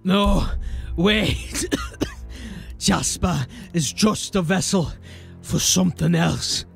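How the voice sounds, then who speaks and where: A dying rebel played by a man actor speaks slowly in a creaky, breath-starved male voice. He is bitter and urgent, pleading as in a fantasy audio drama, with faint music behind him. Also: high voice, exaggerated voice. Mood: desperate warning. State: weak, coughing, labouring for breath.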